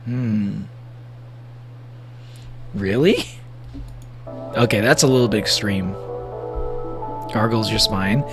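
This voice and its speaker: A man with a gravelly voice giving a casual livestream reaction, rambling and joking playfully as he comments and reads bits aloud. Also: fairly low pitch, slow, long pauses, music in the background. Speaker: streamer reacting to horror videos.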